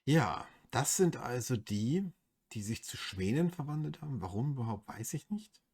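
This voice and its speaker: A man with a German accent giving casual game commentary as a let's play host, in a husky voice. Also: mid-range voice, sing-song, normal speed, a little mellow.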